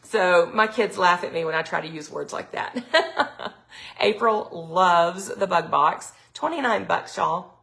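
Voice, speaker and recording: Chatty woman, slightly raspy. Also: fairly low pitch, animated, a little slow, a bit bright. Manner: chatty, lots of ums, reads viewer comments aloud. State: slightly raspy throat from allergies. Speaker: product consultant hosting a live sales stream. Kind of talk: casual live-stream chat.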